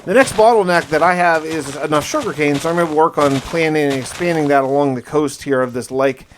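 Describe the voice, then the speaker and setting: A man with a slightly high voice narrates his gameplay in a casual, chatty way. He talks in folksy exclamations and mild slang, as a video host speaking to his viewers. Faint noise sits behind the voice. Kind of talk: casual first-person gameplay commentary.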